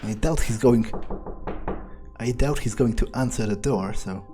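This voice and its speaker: Slow, mellow man musing in character. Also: mid-range voice, soft background music. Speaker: gameplay player staying in character. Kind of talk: in-character improvised game commentary, thinking aloud.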